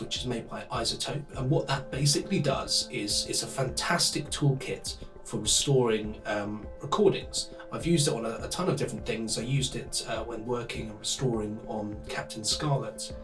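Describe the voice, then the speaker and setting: A man with a medium-pitched voice explains his technical work as a sound designer in an informal way. Faint guitar music plays underneath. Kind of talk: explanatory talk to camera.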